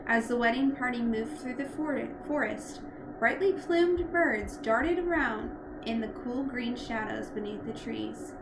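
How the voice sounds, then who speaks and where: A woman reading a storybook aloud in an expressive narrating style. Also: a little high, measured pace. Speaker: storybook reader. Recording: echoey room with loud background noise.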